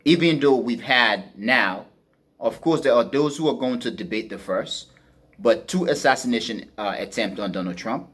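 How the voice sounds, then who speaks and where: A man speaking as a commentator, unscripted, in a deliberate, emphatic manner, often rephrasing himself. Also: medium pitch, a bit bright, husky. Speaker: podcast host and political commentator.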